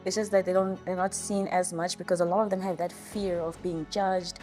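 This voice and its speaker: Woman, lowish pitch, competitive gamer, documentary interview, clear background music. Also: level tone, fairly quick, warm tone.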